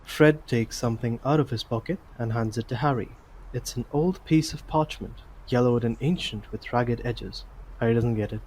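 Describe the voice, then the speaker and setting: Male story narrator, medium pitch. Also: normal speed, darkish tone, a little hiss or hum, low-quality recording.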